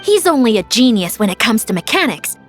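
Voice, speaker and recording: A woman's voice: fairly high pitch, very animated, a little fast, faint music behind. A woman voicing an earnest young actress who delivers dramatic lines with formal phrasing in a video game. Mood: solemn, reproachful.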